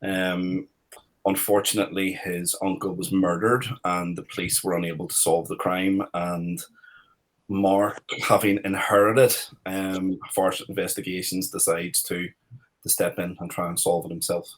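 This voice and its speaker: Low-voiced Northern Irish man. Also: flat delivery, unhurried, poor audio. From Northern Ireland. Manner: hesitant, thoughtful, many restarts. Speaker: crime writer guest. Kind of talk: panel discussion.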